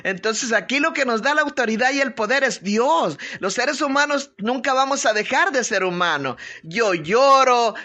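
A woman pastor with a strong voice, leading an informal devotional talk with prayers, greetings and conversational asides. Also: medium pitch. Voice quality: strong, forceful voice. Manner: conversational, hesitant searching for words, punctuated with amens and tag questions. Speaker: pastor hosting a live online prayer broadcast.

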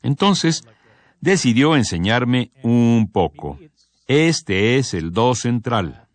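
A man giving calm, scripted radio narration, reading a reflective first-person account in measured, unhurried phrases. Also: mid-range voice, no echo. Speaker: radio program voice reading a composer's testimony. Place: radio studio.

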